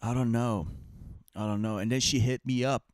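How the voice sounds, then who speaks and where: A man's voice: medium pitch, fairly even intonation, moderate pace, dry recording, studio quality. A man with an Armenian accent co-hosting a comedy podcast, chatting casually in a rambling, mock-boastful way. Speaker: comic and podcast co-host.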